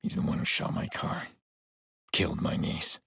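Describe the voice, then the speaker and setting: An actor playing a brooding, weary, haunted vigilante in a video game, with a gravelly, husky voice and terse, deliberate delivery. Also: male voice, fairly bright tone, dry recording, clean studio sound, natural voice. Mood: remorseful. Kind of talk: video game cutscene narration.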